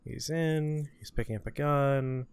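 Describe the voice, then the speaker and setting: A man's voice: medium pitch, a little slow, a little mellow, smooth. A man hosting a gaming show with casual gameplay commentary, talking to himself and to his opponents as he plays. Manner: talks to himself and to game enemies.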